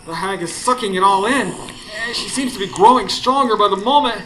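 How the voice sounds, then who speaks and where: A man's voice: sits high, a little fast, natural voice. A man playing a grieving, frightened narrator in a live radio horror drama, telling it dramatically in the first person. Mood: terrified. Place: studio before live audience. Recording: noisy live radio stage recording.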